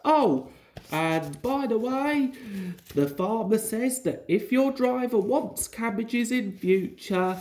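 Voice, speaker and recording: Woman reading a slow-spoken, authoritative railway boss. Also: fairly high pitch, animated, a little mellow. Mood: reassuring, wry. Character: astonished, kindly, authoritative male railway controller.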